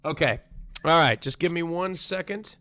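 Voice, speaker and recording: A man's voice: a little high, normal speed, pushed and bright, no echo, clean studio sound. A man hosting an online talent show, passing the word from judge to judge in a cheerful way. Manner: upbeat, introduces judges in turn. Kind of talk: live-stream show hosting.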